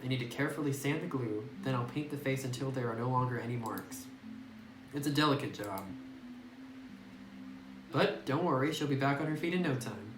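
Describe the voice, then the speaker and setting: A man's voice: medium pitch, fairly quick, pauses often, over music, lightly acted. Man reading a subdued doll repairman, roomy sound. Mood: quiet, careful. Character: lonely, earnest male doll repairer, quietly devoted. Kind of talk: read-aloud visual novel dialogue. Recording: roomy, noisy distant phone microphone.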